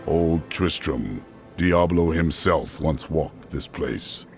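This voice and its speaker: An actor playing a grim, stern male barbarian warrior in a video game, with a deep, gravelly voice and slow, solemn delivery. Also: darkish tone, soft background music. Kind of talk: video game cutscene voice acting.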